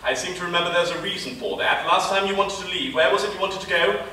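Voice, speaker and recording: Casting: male actor as flamboyant British pantomime nanny, high-pitched, playful and motherly, recorded in a very echoey theatre. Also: fairly even intonation, fast, bright, forceful tone. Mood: motherly, teasing. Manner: exaggerated, playful, projecting to an audience. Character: camp, flamboyant middle-aged pantomime dame nanny, flirty and motherly. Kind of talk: stage pantomime acting. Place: on stage in a theatre, far camera. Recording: distant, echoey theatre hall, noisy ambience.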